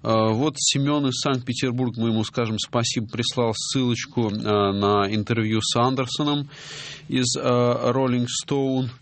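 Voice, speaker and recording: A middle-aged man hosting a music radio show, talking casually and hesitantly, with many drawn-out filler pauses. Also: medium pitch, little pitch movement. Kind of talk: casual radio talk reading listener messages.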